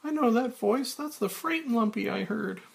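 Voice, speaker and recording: A man doing a breathless falsetto young woman. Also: expressive, moderate pace, vocal fry, heavily acted. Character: excitable young woman, earnest activist.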